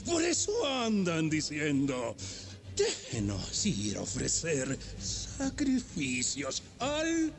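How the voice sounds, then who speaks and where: A cruel Egyptian king in a Bible audio drama. The voice is high and creaky, swinging wildly as it shouts in slow, theatrical scorn. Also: huge pitch swings, music in the background, full character voice. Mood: scornful, furious. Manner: theatrical, shouting, swooping delivery.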